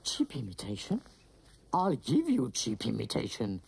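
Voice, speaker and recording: A man's voice: wildly swooping pitch, slow pace, warm tone, full character voice. A man voicing a sardonic, menacing living handbag monster with a growly voice in a cartoon. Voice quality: low, creaky growl. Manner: sneering, threatening.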